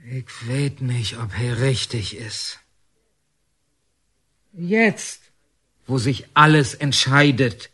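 Slow-spoken villager. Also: male voice, medium pitch, bright, forceful tone, husky, heavily acted. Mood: doubtful. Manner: skeptical. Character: doubtful, plain-spoken village militia man. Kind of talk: radio drama acting.

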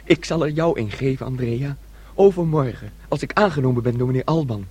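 A boyish young dreamer played by a man in a radio play, husky and mid-pitched. He is naive, excitable and keen to please. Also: brisk, natural voice. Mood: boastful, excited. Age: young adult. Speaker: actor. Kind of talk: radio drama acting.

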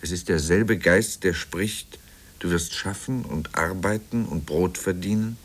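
A man's voice: deep voice, unhurried, breathy edge. An actor playing a grave statesman, formal and oratorical, in a 1950s radio drama. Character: grave statesman declaiming on right and wrong. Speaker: actor reading a quotation.

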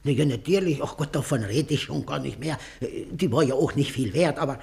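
An actor playing an excitable, indignant older man in a radio play, blustering, stammering and repeating himself. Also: medium pitch, fairly quick, gravelly, natural voice. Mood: startled, gruff. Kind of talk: classic German radio play acting.

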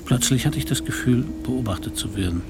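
A man's voice: medium pitch, brisk, vocal fry, over music, natural voice. An actor playing a hard-boiled, stubborn male private detective in a radio crime play, talking in a dry, laconic, sardonic way. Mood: wry, musing. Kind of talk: first-person radio crime drama narration and dialogue.

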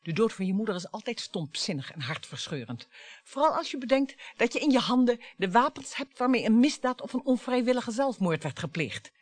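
Bitter sarcastic wife in a radio play, swoopy highish voice, really theatrical. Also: female voice, sing-song, fairly quick, no echo. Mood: bitter, sardonic. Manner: sarcastic, theatrical, storytelling delivery. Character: bitter, mocking wife and mother, needling her husband. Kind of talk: Dutch radio play acting.

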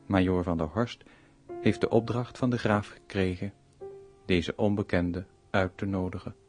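Slow, deep-voiced male narrator. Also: steady tone. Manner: measured, storytelling. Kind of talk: scripted radio drama narration. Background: piano music.

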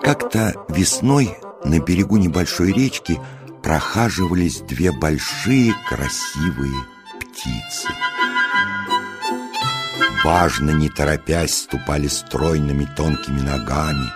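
A man narrating a children's fairy tale as a measured, expressive storyteller. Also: a bass voice. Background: music.

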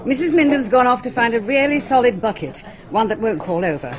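An actress doing a bossy, efficient hostess who directs her helpers briskly, in a fairly high voice. It is a muffled archive radio recording. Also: expressive, background noise, close to an everyday voice. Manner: brisk and organizing. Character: brisk, bossy middle-aged female party hostess.

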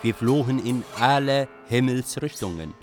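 An actor playing a young Iraqi refugee poet, narrating his flight in a measured, reflective, literary way for a radio drama. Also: medium pitch, darkish tone, soft background music, lightly acted. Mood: grave recounting.

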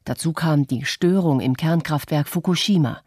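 Woman reading as an earnest woman telling her memories for a radio play, soft mellow tone, measured, dry close sound. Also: sits low, breathy edge, no room sound. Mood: sober, reflective. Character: adult Japanese woman artist soberly recounting disaster memories. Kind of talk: scripted radio documentary narration.

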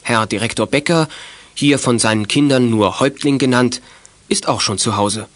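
A man's voice: mid-range voice, moderate pace, a bit bright, dry recording. A man narrating a children's audio drama as scripted storytelling.

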